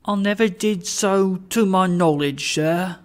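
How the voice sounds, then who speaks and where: A storybook reader puts on a humble old man with a raised, higher voice. He is timid and deferential, faltering as he earnestly pleads his case. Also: takes it slowly, natural voice. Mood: apologetic.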